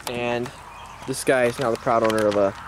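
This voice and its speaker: A man's voice: medium pitch, fairly even intonation, normal speed. A young American man chatting casually to the camera as a vlogger, recorded on a handheld camera. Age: young adult. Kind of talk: casual vlog chat. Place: old pickup truck cab and roadside outdoors. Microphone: handheld camera at arm's length. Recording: loud road, engine and outdoor noise.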